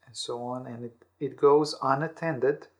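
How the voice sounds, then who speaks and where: A man giving explanatory self-help answers as an interview guest, often repeating words and rephrasing in halting steps. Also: mid-range voice, fairly even intonation. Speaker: interview guest, audiobook author.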